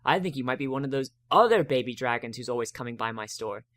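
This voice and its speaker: A man's voice: mid-range voice, animated, fast talker, a bit bright, no echo, close to an everyday voice. A man voicing a friendly, teasing female unicorn shopkeeper in a fantasy story reading, with a playful manner.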